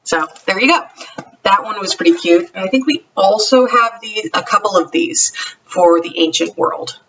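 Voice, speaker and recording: A woman's voice: medium pitch, moderate pace, a bit bright. A woman chatting casually in a homemade show-and-tell vlog, rambling conversationally and correcting herself as she goes. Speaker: homeschooling parent vlogger. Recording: dull lo-fi, distant mic, faint noise.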